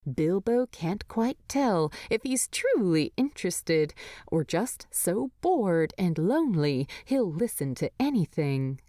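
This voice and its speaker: A woman reads a story aloud with lively, varied intonation. Her pace is measured and her voice sits at a medium pitch. The recording is dry and intimate. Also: no room sound. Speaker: fan fiction narrator. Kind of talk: expressive scripted story narration.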